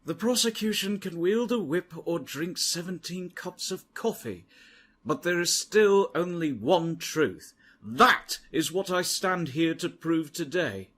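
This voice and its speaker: Man playing a composed, proud gentleman lawyer. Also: a little high, lively intonation, a little slow. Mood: resolute. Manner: composed, formal, dry. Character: cool, proud gentleman lawyer standing in court. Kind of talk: game fan dub acting.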